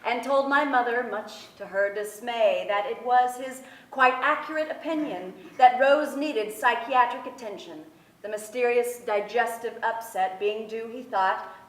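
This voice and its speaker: A young woman giving a measured, articulate dramatic reading of a memoir on stage. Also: a little high. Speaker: stage actress, reader. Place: seated on stage in a theater. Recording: echoey theater stage.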